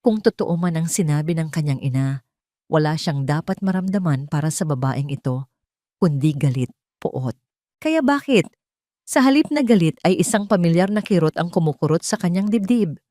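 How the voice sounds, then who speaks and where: A woman's voice: deep voice, animated, normal speed, dark tone, dry recording, clean studio sound. A woman reading a scripted romance audio drama as a dramatic storyteller.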